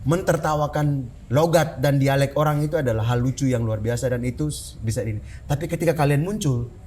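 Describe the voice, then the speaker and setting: A man's voice: medium pitch, brisk, slight background noise. A man from Flores, a musician, talking animatedly in a casual podcast chat, slipping in slang and English words. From Flores, Indonesia. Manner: animated, reflective, talks in slang with English bits. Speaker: musician guest.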